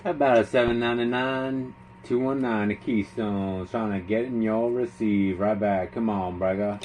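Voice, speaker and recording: A man's voice: fairly low pitch, fairly even intonation, normal speed. A man operating a CB radio, calling out slangy, repetitive call signs in a chanting way. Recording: clearly noisy radio ambience.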